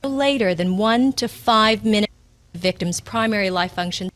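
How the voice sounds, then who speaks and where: A woman's voice: mid-range voice, normal speed, fairly bright tone, no echo, natural voice. A woman playing a clinical expert, giving a terse, serious scripted explanation. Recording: faint background ambient noise.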